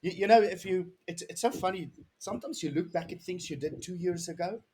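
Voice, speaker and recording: South African man, 30s, husky voice; podcast guest, earnest and rambling, restarts often. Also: high voice, a little fast, darkish tone. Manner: rambling, earnest, with many restarts and "you know". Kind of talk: casual interview chat.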